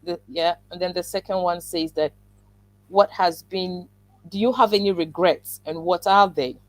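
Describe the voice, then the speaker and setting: A woman hosts a relationship talk show, her voice sitting on the lower side and sounding dry and close. She gives reflective advice and asks careful questions. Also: fairly even intonation, normal speed, no echo. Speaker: host. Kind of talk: interview chat.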